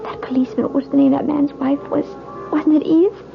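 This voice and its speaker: A frightened, distrustful wife in a radio mystery. Her voice is high and halting, full of nerves. The old broadcast recording is dull, with music behind it. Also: flat delivery. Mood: anxious, hushed. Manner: halting, anxious, breathy murmurs to herself. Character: frightened, distrustful young married woman in a mystery.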